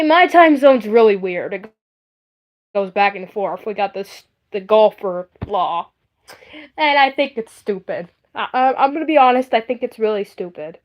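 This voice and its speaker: A young woman chatting casually on a live stream in a rambling, hesitant way, often repeating herself. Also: fairly high pitch.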